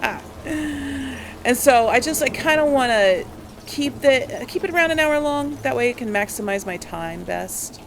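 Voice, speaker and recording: A woman's voice: high-pitched, animated, measured pace, background noise. A woman hosting a casual let's play, chatting to viewers and talking to herself as she plays, with exclamations. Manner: talks to self while playing, exclaiming. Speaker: gaming video host.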